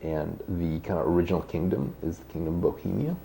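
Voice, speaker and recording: Deep, gravelly-voiced man explaining history. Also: steady tone, fast talker, dark tone, a little hiss or hum, rough recording. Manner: thoughtful, many restarts and hedges. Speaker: author and guest.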